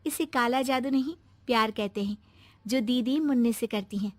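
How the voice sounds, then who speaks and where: A woman's voice: fairly high pitch, moderate pace, no echo, studio quality, lightly acted. A woman playing a devoted, emotional young daughter-in-law and mother in a moral-story cartoon, earnest and dramatic. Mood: earnest, defending.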